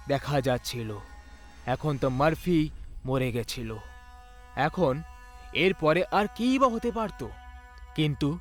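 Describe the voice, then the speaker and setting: A man narrating a scripted horror story in a dramatic storytelling style. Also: on the high side. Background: background music.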